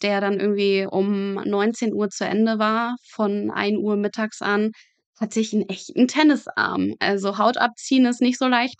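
High-voiced young woman telling frank anecdotes. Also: dry recording. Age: 20s. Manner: frank, matter-of-fact, vivid anecdotal telling. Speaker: medical student, interview guest. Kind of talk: conversational interview storytelling.

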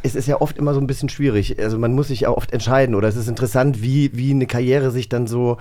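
A man with an average-pitched voice co-hosts a relaxed interview. He speaks conversationally and ramblingly, circling around his questions as he goes. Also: quick pace. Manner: chatty, rambling. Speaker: co-host, interviewer. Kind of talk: casual chat.

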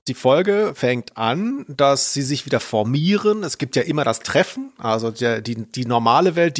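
A man co-hosting a culture podcast in casual conversation. His voice is medium in pitch with hardly any room sound. He rambles enthusiastically and doubles up words. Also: no room sound, studio quality. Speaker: podcast host, culture journalist.

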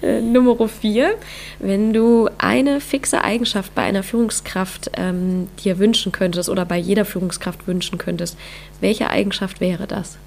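A woman hosting a podcast, reading an intro and then chatting with a guest, her voice hoarse from a cold. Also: medium pitch, steady tone, unhurried. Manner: upbeat, with many fillers. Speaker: podcast host. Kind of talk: interview podcast, scripted intro then chat.

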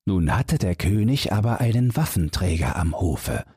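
A very husky man with a low voice reads a bedtime fairy tale. He takes his time, phrasing each sentence deliberately as an audiobook narrator. The recording is close and dry. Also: big pitch swings, mellow, no room sound. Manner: measured, storybook reading with deliberate phrasing.

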